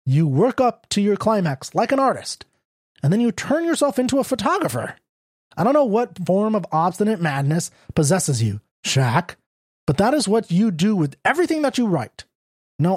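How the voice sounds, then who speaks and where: A reader voicing a tactful middle-aged male magazine editor who critiques a writer in a measured, diplomatic way. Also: mid-range voice, breathy edge, dry recording, studio quality, pitched above the performer's own voice. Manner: measured, diplomatic, softly lecturing. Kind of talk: audiobook character reading.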